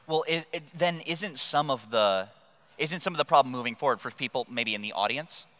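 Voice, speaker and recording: A male interviewer on a conference stage with a bright, sharp-edged voice at medium pitch. He assembles long questions in halting pieces, restating as he goes. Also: expressive. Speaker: interviewer, moderator.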